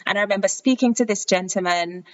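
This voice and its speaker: A young woman with a Jamaican accent talks through her own story in a relaxed, chatty podcast style. Her voice sits at a middle pitch, and she livens up when she acts out conversations with other people. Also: fast, dull audio. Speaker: podcast host. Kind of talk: casual personal storytelling.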